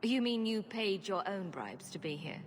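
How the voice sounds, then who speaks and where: An actress playing a guarded young refugee mage in a video game, with a measured, questioning way of talking. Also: female voice, mid-range voice, animated, big-room sound, slight background noise, close to an everyday voice. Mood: inquiring. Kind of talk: video game dialogue acting. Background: music.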